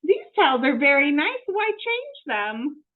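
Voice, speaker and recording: A woman's voice: sits high, big pitch swings, measured pace, narrow, tinny audio, voice pushed up. A woman voicing a cheerful, naive, literal-minded housemaid from a children's storybook, exclamatory, wonderstruck and folksy. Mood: puzzled. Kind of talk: children's storybook read-aloud.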